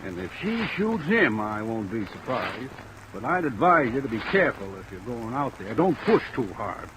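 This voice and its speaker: An actor playing a guarded, suspicious small-town defense lawyer in an old radio drama, speaking in a measured, deliberate way. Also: male voice, mid-range voice, sing-song, natural voice. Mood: wary, warning. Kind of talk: 1940s-50s radio drama acting. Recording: noisy old radio recording, rain over it.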